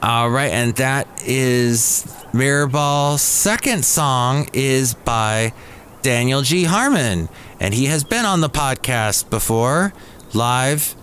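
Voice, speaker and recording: A man's voice: medium pitch, expressive, takes it slowly, fairly bright tone, slight background noise. A man hosting a podcast in a café, chatting casually as he introduces new songs and reads emails aloud. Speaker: podcast host.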